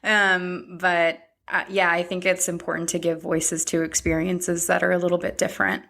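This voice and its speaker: A woman in her thirties hosting a podcast, chatting in a reflective, halting way. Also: on the low side, little pitch movement, gravelly, a bit bright. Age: 30s. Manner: reflective, speaks in short halting phrases. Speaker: podcast host. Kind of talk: casual chat.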